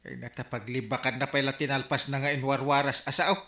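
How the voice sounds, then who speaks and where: A man's voice: medium pitch, level tone, a little fast, sharp tone, roomy sound. A man playing an exasperated comic village captain with a Filipino accent in a radio drama. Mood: confronting.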